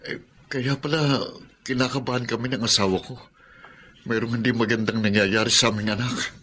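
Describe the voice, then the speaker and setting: A man's voice: medium pitch, steady tone, moderate pace, bright, forceful tone. A man playing a worried, humble middle-aged father in a Filipino radio drama. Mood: anxious.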